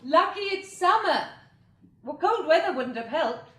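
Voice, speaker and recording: A high-voiced, genteel British woman. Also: expressive, a little slow, bright, forceful tone, full character voice. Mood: light, inquiring. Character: genteel, anxious but playful female apocalypse survivor. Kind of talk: British radio drama acting.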